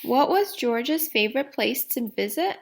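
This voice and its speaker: A woman reading a children's storybook aloud like a teacher, deliberately, putting questions to young listeners. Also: on the high side, expressive.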